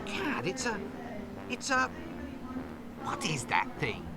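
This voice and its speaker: A wry, swooping British game wizard. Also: male voice, high-pitched, huge pitch swings, slow, full character voice. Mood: puzzled. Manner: wry, sarcastic asides. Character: bumbling, wisecracking, cowardly male wizard, wry and exasperated. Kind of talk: point-and-click adventure game voice acting. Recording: game music behind the voice.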